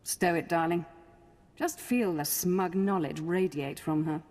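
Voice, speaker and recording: A woman's voice: fairly low pitch, a little slow, a little mellow, big-room sound, lightly acted. An actress playing a snobbish, bossy noblewoman in a video game, genteel and disdainful.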